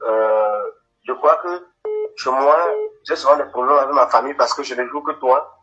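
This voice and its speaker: A man's voice: medium pitch, normal speed, fairly bright tone, faint music behind. Earnest Cameroonian man calling in by phone. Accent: Cameroonian French. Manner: earnest and hesitant, many fillers. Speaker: caller. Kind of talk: live phone-in chat. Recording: dull lo-fi phone line.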